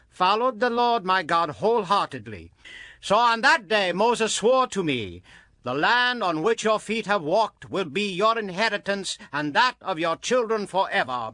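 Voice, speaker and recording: An actor playing a vigorous, devout 85-year-old warrior, earnest and declamatory, in a dramatized audio Bible. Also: male voice, high-pitched, very animated, normal speed, sharp tone, no echo, heavily acted. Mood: earnest, proud.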